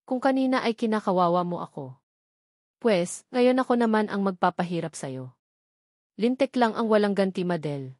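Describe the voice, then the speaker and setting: A woman's voice: mid-range voice, animated, normal speed, a little mellow, dry recording, studio quality, close to an everyday voice. Woman acting a spiteful, mocking avenger heroine. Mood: vengeful mocking. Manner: mocking, biting, boastful. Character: spiteful vengeful young woman, mocking and proud.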